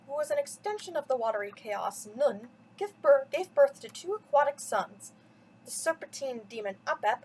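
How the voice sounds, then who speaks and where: A woman's voice: a little high, slight background noise, rough recording. A woman reading a mythology story aloud for children as a storyteller, with dramatic, theatrical emphasis. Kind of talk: expressive bedtime story read-aloud.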